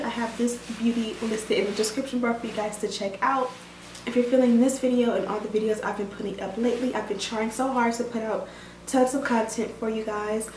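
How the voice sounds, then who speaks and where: A woman reviewing a wig for her viewers in casual chat, her voice hoarse and scratchy from a cold, with many fillers. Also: fairly high pitch. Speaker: beauty vlogger reviewing a wig. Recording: roomy room sound, mic at a distance, faint noise.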